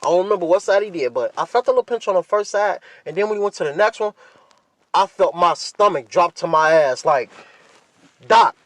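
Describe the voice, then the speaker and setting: A man's voice: high voice, lively intonation, a little fast, a bit bright, dry recording. A man hosting a podcast, talking casually and candidly in blunt, profane slang and repeating himself for emphasis. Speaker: podcast host.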